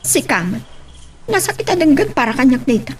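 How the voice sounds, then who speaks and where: A woman playing an emotional wife in an Ilocano radio love drama, with an expressive, melodramatic delivery. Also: high voice, fairly quick, slight background noise. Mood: wounded, questioning.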